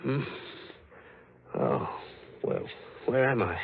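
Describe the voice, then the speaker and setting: An actor playing a hard-boiled, frightened fugitive gangster in 1940s radio thriller style, with stammering, slangy tough-guy talk and a rough edge. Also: mid-range voice, huge pitch swings, extremely slow, a little hiss or hum, full character voice. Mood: dazed, groggy. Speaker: actor narrating and playing the lead. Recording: old radio recording with music.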